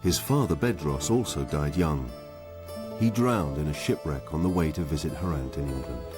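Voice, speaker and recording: A man narrating a documentary in a measured, even scripted delivery. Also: extremely low pitch, music in the background.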